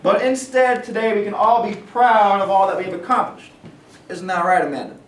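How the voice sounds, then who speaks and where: A man's voice: high voice, fairly even intonation, fairly quick, a little hiss or hum, lightly acted. A man reading a condescending steel-mill boss. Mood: smooth, evasive. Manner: blustering and condescending, turning oily. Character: smooth, bigoted male steel mill executive. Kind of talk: staged play reading. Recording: echoey room, mic at a distance.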